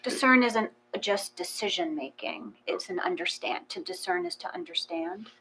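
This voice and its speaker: A woman in a casual, reflective discussion, explaining gently and a little tentatively. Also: fairly low pitch, moderate pace, low-quality recording. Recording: faint background hum.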